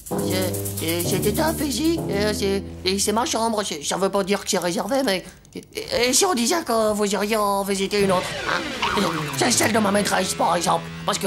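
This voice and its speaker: A woman voicing a cheeky, boastful young schoolboy in an animated cartoon, with slangy, dramatic delivery. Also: medium pitch, lightly acted. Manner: slangy, hesitant stammering, dramatic. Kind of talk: animated children's cartoon acting.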